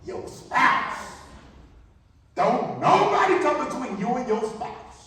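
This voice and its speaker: A man's voice: very high-pitched, very animated, slow pace, bright, forceful tone. A man preaching a fervent sermon, emphatic and repetitive, throwing out rhetorical questions. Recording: echoey hall, far from the mic.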